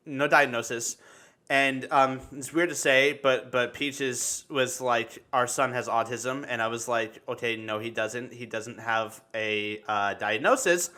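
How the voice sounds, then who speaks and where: A man chatting casually and telling personal stories, halting and repeating words, swearing freely. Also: mid-range voice, sharp tone. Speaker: livestream talk show host. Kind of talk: casual chat, personal storytelling.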